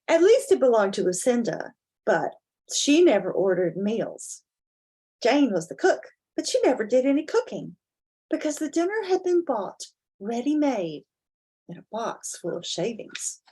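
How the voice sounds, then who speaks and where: Female storyteller, fairly high voice, theatrical picture-book delivery for children. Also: sing-song, a little slow, warm tone, husky. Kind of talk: expressive dramatic storybook reading with casual asides.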